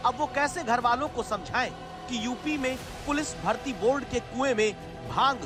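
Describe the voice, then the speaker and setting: A man's voice: very high voice, normal speed. A man presenting scripted TV news narration in a dramatic, rhetorical way. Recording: loud music bed under voice. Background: music.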